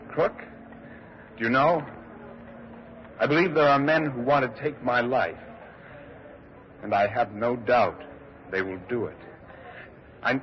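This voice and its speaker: An actor playing a shaken, grieving private secretary who speaks haltingly and solemnly in a 1940s radio drama. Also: male voice, medium pitch, close to an everyday voice. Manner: halting, solemn, repeats words. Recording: muffled, faintly noisy old radio broadcast.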